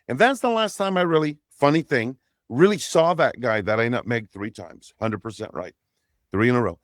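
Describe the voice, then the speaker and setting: A man's voice: medium pitch, expressive, normal speed, dry recording. A middle-aged man, a native Portuguese speaker, co-hosting a soccer podcast and telling long, rambling stories with frequent asides. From Brazil. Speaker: podcast co-host. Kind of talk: casual chat, storytelling.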